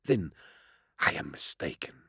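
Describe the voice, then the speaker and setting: A man voicing an amiable but menacing interrogator in an audiobook, measured, courtly and sardonic, with theatrical mockery. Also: deep voice, expressive, fairly bright tone, no echo, studio quality. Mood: mock courtesy. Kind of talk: audiobook character acting.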